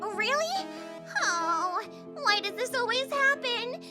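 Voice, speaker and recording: A woman voicing a giant dark goddess in a comedic fantasy drama, grandiose and gleefully villainous yet harmless and naive. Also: extremely high pitch, very animated, takes it slowly, exaggerated voice. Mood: exasperated. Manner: grandiose, theatrical gloating. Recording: music playing loudly behind. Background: loud music.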